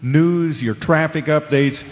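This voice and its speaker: Man playing a griping sports radio host, boomy reverb. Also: slow pace. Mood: indignant. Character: male sports talk radio host, petulant, sports-obsessed. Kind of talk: live radio show acting in an audio drama. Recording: dull lo-fi audio with long reverb.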